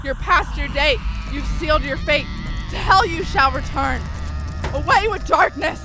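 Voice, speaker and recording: A woman's voice: sits high, expressive, a little slow, music in the background, rough recording. A woman playing a bold, commanding young demon fighter in an audio horror drama, with theatrical delivery. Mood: defiant, incantatory.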